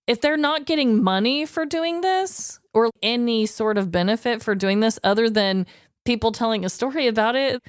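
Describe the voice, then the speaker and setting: A woman co-hosting a podcast in casual chat, expressive and reactive as she talks things over. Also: a little high, normal speed, clean tone, no echo, clean studio sound. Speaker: podcast co-host.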